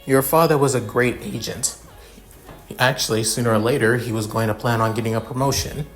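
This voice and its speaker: A calm, apologetic government heroine in an amateur audio story, read by a man in an everyday voice. She is subdued and conciliatory, halting a little, with faint music behind. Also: medium pitch, natural voice. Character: calm, apologetic woman government hero offering condolences.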